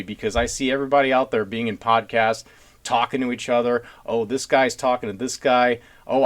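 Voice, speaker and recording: Male podcast host, mid-pitched voice, earnest and rambling, plainspoken blue-collar talker in casual discussion.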